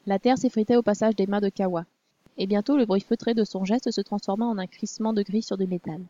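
A woman narrating a story in a measured, storytelling manner. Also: mid-range voice, fairly even intonation, warm tone, no room sound, dull audio. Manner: measured storytelling, also voices the princess. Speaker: audiobook narrator.

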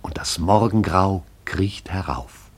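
An actor playing the lyrical storyteller of a radio play, addressing the listener intimately in an incantatory, poetic way. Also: male voice, low voice, takes it slowly, a little hiss or hum. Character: hushed, lyrical omniscient storyteller of a sleeping town. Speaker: narrator.

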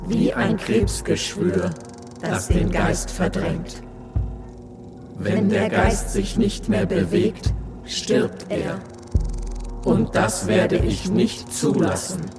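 An actor playing a vast, self-important machine that hoards archives, speaking slowly and gravely in a radio play. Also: male voice, high voice, sing-song, heavily acted. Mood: ominous. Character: vast brooding machine archive, male, menacing. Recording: music loud behind.

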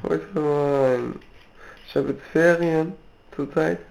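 Slow, creaky, mellow casual man. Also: fairly high pitch, fairly even intonation, faint music behind, poor audio. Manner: halting, slangy, crude. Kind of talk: casual, rambling monologue.

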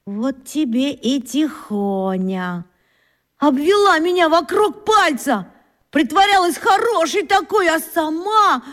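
A woman playing an excitable, suspicious schoolboy detective in a children's radio play, exclaiming and thinking aloud dramatically. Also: sits high, slow pace, exaggerated voice. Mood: amazed, indignant.

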